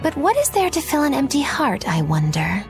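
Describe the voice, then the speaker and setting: A woman's voice: sits high, huge pitch swings, normal speed, gravelly, music in the background, heavily acted. A woman playing a flippant, teasing witch in a video game, theatrical and sardonic. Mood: wry. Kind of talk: English game voice acting.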